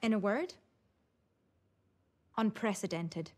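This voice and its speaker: A woman's voice: mid-range voice, slow. A woman playing an articulate, analytical motorsport pundit giving her view to an interviewer.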